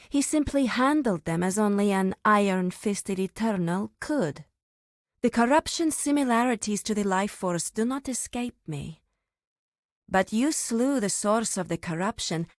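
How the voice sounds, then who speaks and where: A woman playing a reverent, solemn druid of an ancient tribe in a fantasy video game, speaking formally with deliberate pauses. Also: mid-range voice, no room sound, studio quality, natural voice.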